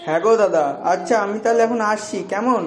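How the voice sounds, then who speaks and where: A man's voice: high voice, quick pace, close to an everyday voice. A man voicing a friendly, neighbourly younger village farmer in a moral-story cartoon. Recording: echoey voice track, clear music.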